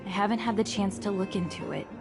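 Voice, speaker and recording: A woman's voice: mid-range voice, steady tone, fast talker, over loud music, close to an everyday voice. A woman playing a wary, street-wise young underworld informant in a video game, guarded and matter-of-fact. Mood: confiding.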